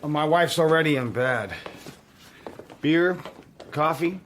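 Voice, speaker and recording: An actor playing an urbane married man in a radio drama, a courteous host who talks casually and politely while quietly probing. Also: a little high, slow. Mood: hospitable, guarded. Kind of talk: naturalistic radio drama dialogue. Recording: clearly noisy background ambience.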